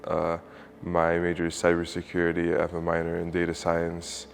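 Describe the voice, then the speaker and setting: Young man from Lebanon, very low monotone with gravel, faint room hum. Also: normal speed. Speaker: student. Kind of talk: interview. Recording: faint ambient noise.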